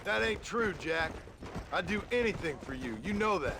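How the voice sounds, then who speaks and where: A man playing a stern, weary ex-outlaw father in a video game, gruff and blunt, with a gravelly American Western drawl. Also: high-pitched, lively intonation, moderate pace, background noise. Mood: protective, reassuring. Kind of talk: video game cutscene acting.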